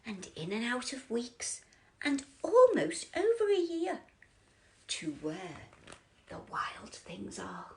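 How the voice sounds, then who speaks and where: A woman reading a children's picture book as a bedtime storyteller, theatrical and drawn out, often dropping into breathy whispers. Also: fairly high pitch, huge pitch swings, slow pace, a little hiss or hum.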